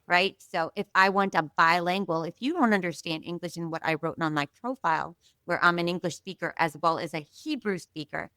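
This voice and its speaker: A woman guest telling a story in a casual, animated way, full of fillers and asides, from Israel. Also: lowish voice, brisk, hardly any pauses, no echo. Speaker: podcast guest.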